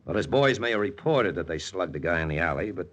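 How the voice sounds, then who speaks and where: An actor playing a hardboiled, wry lieutenant in a 1940s radio spy drama, talking in a terse, deadpan way. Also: male voice, deep voice, quick pace. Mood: insistent, curt. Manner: terse, deadpan first-person narration. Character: hardboiled American lieutenant, wry, jealous, narrating his own spy story. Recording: old radio recording with music underneath.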